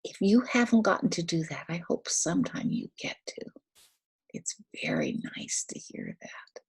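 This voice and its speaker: An older woman reads a picture book aloud to toddlers, speaking simply with questions and little instructions, in a breathy, husky voice. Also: on the low side, huge pitch swings, moderate pace, a little mellow, in a whisper. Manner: simple, instructive, asking the child questions and giving prompts. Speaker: storyteller for young children.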